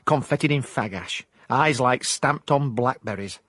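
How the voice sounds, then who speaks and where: An actor with a South Yorkshire accent playing a hapless ex-miner turned private investigator in a radio comedy-drama, deadpan and mock hard-boiled. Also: male voice, medium pitch, a little slow, no room sound, studio quality. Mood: wry narration. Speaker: narrator and lead actor. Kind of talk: radio comedy-drama with first-person narration.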